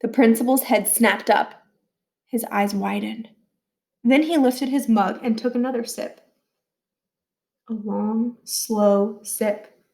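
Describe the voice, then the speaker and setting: A woman reading a children's book aloud as a storyteller, with expressive scripted narration. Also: a little high, slow pace, creaky, long pauses. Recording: roomy, echoey indoor sound.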